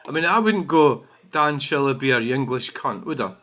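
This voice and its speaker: A man's voice: mid-range voice, expressive, moderate pace, sharp tone. A Scottish man hosting his own channel, ranting indignantly and profanely about viewer comments with plenty of "you know" fillers. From Scotland. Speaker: channel host.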